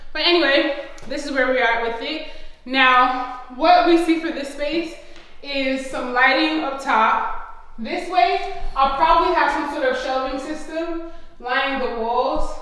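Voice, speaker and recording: A woman's voice: on the high side, a bit bright. A young American woman chatting casually and enthusiastically to camera as she gives a walk-through tour of a home. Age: young adult. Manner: upbeat, enthusiastic. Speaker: vlogger giving a home tour. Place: empty rooms of a house under renovation, indoors. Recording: echoey empty rooms.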